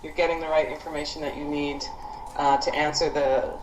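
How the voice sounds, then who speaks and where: A woman leading a conference call briefing for project teams, speaking in a halting, thinking-aloud way with frequent pauses. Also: low-pitched, level tone, a little mellow. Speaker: program leader briefing student project teams. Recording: echoey conference call with background noise.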